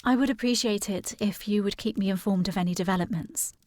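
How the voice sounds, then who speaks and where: A woman's voice: mid-range voice, brisk, darkish tone, no room sound, lightly acted. An actress playing a resolute, well-bred young woman in a period audio drama, with a formal, poised and earnest manner. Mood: grave, subdued.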